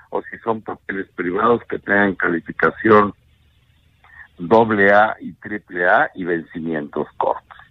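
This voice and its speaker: An older man with a Mexican accent, a bank executive giving measured investment advice on a radio interview, often ending points with a questioning tag. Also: low voice, no echo, narrow, tinny audio. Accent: Mexican Spanish.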